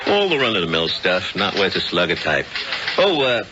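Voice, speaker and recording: An actor in an American radio drama playing a genial, wisecracking city police lieutenant. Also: male voice, low-pitched, sing-song, moderate pace, background noise. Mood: dry, wry. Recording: dull vintage radio recording, music.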